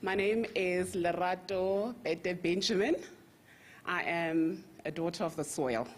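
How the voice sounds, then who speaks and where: A woman from Zambia speaks into a mic at a conference. Her voice sits slightly low and her manner is friendly and lively. Faint room noise sits behind her. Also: slow pace. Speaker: bank representative speaker. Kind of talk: conference speech. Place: conference hall.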